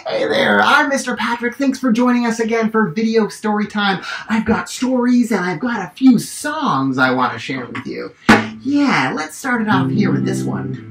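A man in his thirties hosting storytime and reading picture books in an encouraging, expressive way to young children, recorded on a camera mic in a small room. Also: high voice, normal speed, faint music behind. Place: small decorated indoor room. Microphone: camera a short distance away. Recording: roomy echo from a camera mic.

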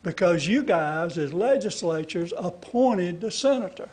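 High-pitched elderly man testifying. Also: expressive, measured pace, a little mellow, husky. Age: older, 70s or above. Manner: folksy, rambling, checks listeners with frequent "okay?". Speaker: citizen activist testifying to a legislative committee. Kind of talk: extemporaneous public testimony. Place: large indoor hearing room with seated audience. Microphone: gooseneck podium microphone.

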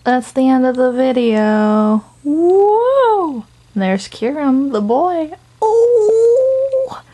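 A woman's voice: sits high, big pitch swings, extremely slow, dark tone. A woman chatting casually in a playful, gushing, goofy way.

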